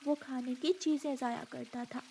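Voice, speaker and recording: A woman's voice: sits high, fairly even intonation, normal speed, gravelly, dark tone, slight background noise. A woman voicing a stern, righteous fairy in a cartoon moral story, speaking with scolding authority. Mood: firm. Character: stern, righteous female fairy who punishes and forgives. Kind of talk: Hindi animated moral story acting.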